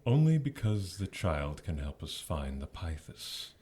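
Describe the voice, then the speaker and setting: Husky, very deep scheming demon lord. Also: male voice, sing-song, darkish tone, heavily acted. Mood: calculating. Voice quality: husky, raspy. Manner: slow, mocking, theatrical persuasion. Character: scheming male demon lord, seductive tempter hungry for power. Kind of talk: audio drama acting.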